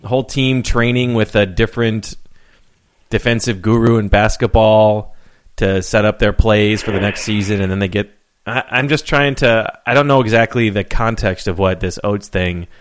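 A man co-hosting a podcast who chats casually about sports, thinking out loud and asking for context. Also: on the low side, fairly even intonation, normal speed. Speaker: podcast co-host.

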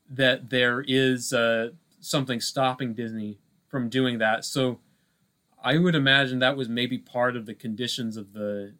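A man with a mid-pitched voice in casual conversation as a podcast co-host. He circles around his point, restarting phrases and hesitating as he thinks out loud. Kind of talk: casual film discussion.

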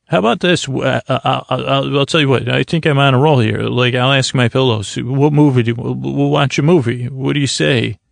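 A man hosting a bedtime podcast, rambling in a halting, stammering, whimsical way that trails off mid-phrase. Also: medium pitch, steady tone, creaky, no room sound. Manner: whimsical, mock-earnest. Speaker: podcast host. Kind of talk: rambling, improvised bedtime monologue to help listeners sleep.

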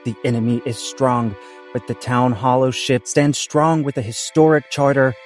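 A man's voice: medium pitch, fairly even intonation, moderate pace, darkish tone, faint music behind, close to an everyday voice. A man playing a pompous small-town amateur thespian, declaiming in a comedy audio drama. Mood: proud, grand. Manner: mock-archaic, declamatory.

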